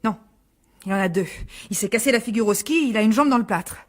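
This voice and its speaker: An actress playing a defensive, exasperated middle-aged divorced mother in a French radio drama, argumentative, with a husky voice. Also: fairly high pitch, fast, natural voice. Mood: evasive.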